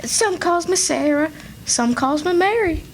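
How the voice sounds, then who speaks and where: Very high-pitched child. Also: animated, a little slow, a little hiss or hum, heavily acted. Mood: nervous, meek. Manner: folksy Southern rural dialect, faltering. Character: boy Huck Finn posing as a meek little girl. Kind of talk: live radio play acting. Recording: stage radio play with music behind.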